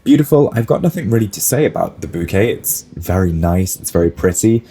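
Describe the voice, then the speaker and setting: Male florist, low and a bit hoarse-edged, snuffly from a cold, relaxed hedging reaction-video delivery. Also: a little fast. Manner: musing, hedging, lots of "like" and "I think". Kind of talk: casual reaction commentary.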